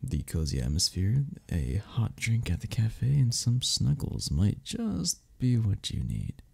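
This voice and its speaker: A man playing a flirty, friendly club member in an ASMR romance roleplay, teasing and reassuring in a breathy, gravelly voice. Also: on the low side, wildly swooping pitch, a little slow, heavily acted. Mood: friendly, curious. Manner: teasing, reassuring, chuckles between phrases. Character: flirty, friendly male cuddle club member showing a newcomer around. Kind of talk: ASMR romance roleplay, one-sided conversation.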